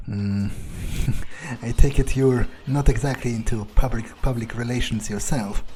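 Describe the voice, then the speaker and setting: A young fledgling vampire acted by a man in a game roleplay, polite and inquisitive. He speaks tentatively in a soft-edged, mellow, mid-pitched voice, with faint music underneath. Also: fairly even intonation, unhurried, close to an everyday voice. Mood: thoughtful. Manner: hesitant, tentative questioning. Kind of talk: in-character video game roleplay.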